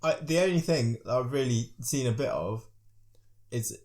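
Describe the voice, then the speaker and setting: A man's voice: medium pitch, normal speed, darkish tone. A man who co-hosts a podcast and gives short, dry replies in casual chat. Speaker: podcast co-host. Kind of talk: casual chat about films.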